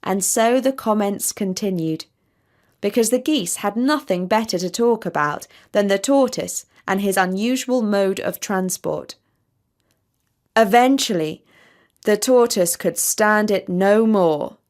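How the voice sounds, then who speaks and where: A woman storyteller narrating a scripted children's tale in measured, deliberate phrases. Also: mid-range voice, darkish tone.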